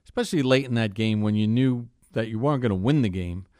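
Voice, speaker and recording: A man's voice: fairly low pitch, fast, darkish tone, clear, resonant tone, dry recording, studio quality. A man hosting a sports broadcast interview, putting questions to his guest.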